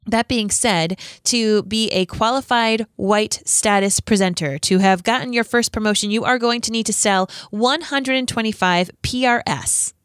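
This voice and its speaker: A young woman hosting her own podcast, upbeat and encouraging, her voice a little raspy from allergies. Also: a little high, normal speed, few pauses, dry recording, clean studio sound. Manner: peppy and encouraging, bursts of excitement. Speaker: podcast host. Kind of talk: upbeat solo podcast coaching.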